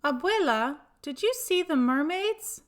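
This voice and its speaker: A woman's voice: high voice, expressive, a little slow, voice pushed up. A woman voicing a wide-eyed, dreamy little boy in a storybook, eager and wondering. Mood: excited.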